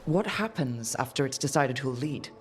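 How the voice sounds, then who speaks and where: A woman's voice: a bass voice, animated, moderate pace, faint music behind. A woman playing a young rogue hero in a video game, casual, direct and wry. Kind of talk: video game character dialogue.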